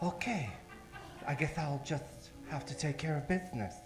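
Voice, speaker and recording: A man's voice: fairly high pitch, moderate pace, close to an everyday voice. A man playing an effeminate, easily flustered bar owner with dramatic delivery in an old-time radio comedy. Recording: roomy, distant sound, faint music.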